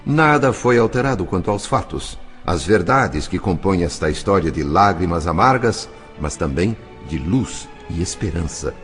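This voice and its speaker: A man with a Brazilian accent playing an earnest, gentle spirit narrator, telling the story in a solemn, measured audiobook style with drawn-out phrase endings. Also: sits low, lively intonation. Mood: grave. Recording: studio narration with music underneath. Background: music.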